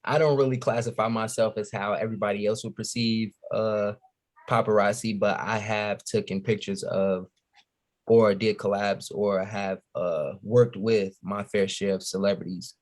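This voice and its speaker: A man's voice: fairly low pitch, fairly even intonation, normal speed. A man from Chicago with an American accent chatting casually and slangily as a podcast guest. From Chicago, USA. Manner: slangy, keeps giving shout-outs.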